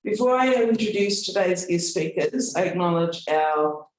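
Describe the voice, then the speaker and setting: A man's voice: high voice, expressive, normal speed. New Zealand man speaking in an echoey hall. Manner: earnest, formal, with light self-deprecating humour. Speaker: university leader speaking at a launch event. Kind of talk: formal welcome speech with jokey asides. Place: large event room with an audience. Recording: very echoey room, voice from far off.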